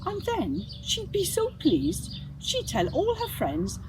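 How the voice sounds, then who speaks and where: High, very animated woman reading to children. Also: slow pace, gravelly, noisy background. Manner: animated, with rhetorical questions to the listener. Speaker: storyteller reading a children's Bible story. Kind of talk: expressive scripted story reading for young children. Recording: music behind the reading. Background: music.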